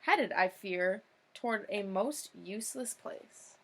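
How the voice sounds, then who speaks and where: A woman's voice: mid-range voice, lively intonation, slow, fairly bright tone. A young woman, a teacher, reading a children's picture book aloud expressively, with short informal asides.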